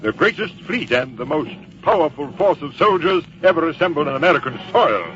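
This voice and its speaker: A haughty commander in a vintage radio play. The recording is dull and aged. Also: male voice, a little high, expressive, fairly quick, fairly bright tone. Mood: stern, imperious. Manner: pompous, drawling rhetorical hesitations and repetitions. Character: haughty, confident male British general commanding redcoats. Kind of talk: old-time radio drama acting. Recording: muffled vintage radio recording, music behind.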